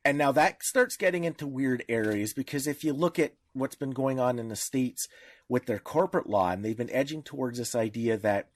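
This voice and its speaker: A man's voice: medium pitch, normal speed, dry recording. A man speaking as a podcast panelist in informal discussion, explaining in a didactic way with examples.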